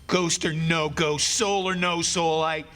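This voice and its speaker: A man's voice: a little high, fairly even intonation, slow, sharp tone, faint music behind. An actor playing a condemned man's desperate, bitter soul in an old-time radio play, agitated and stammering. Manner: agitated, stammering, repeating himself. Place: on stage in a hall. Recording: echoey stage theatre with long reverb.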